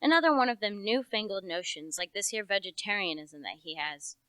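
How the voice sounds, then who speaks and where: A young woman playing a gruff, nosy rustic village policeman in a radio play, with a folksy, officious way of talking. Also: mid-range voice, expressive, brisk, pushed and bright, no room sound. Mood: chatty, grumbling. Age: young adult. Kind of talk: radio play character acting.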